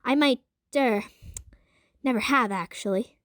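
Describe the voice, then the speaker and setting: A woman's voice: a little high, a little slow, no room sound, clean studio sound, close to an everyday voice. Woman voicing a formal old-fashioned male scientist. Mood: guarded, earnest. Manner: formal, wordy, hesitant. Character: old-fashioned man of science from 1900, proper, defensive.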